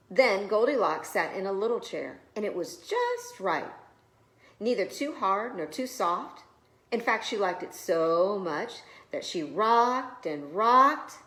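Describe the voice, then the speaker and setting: Female preschool storyteller, animated melodic delivery for children's picture books, recorded in an echoey room. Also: medium pitch. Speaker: preschool teacher reading stories. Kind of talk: expressive children's storybook reading.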